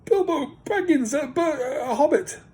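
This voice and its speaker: A man reading aloud and voicing a small, frightened male hobbit who pleads in a high, trembling voice. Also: expressive, moderate pace, a little mellow.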